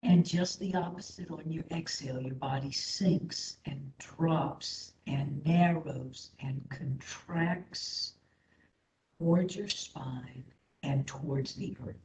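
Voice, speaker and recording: A woman leading a guided meditation as an instructor, speaking in a soothing, gentle, unhurried manner. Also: low-pitched. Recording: echoey room, slightly dull sound.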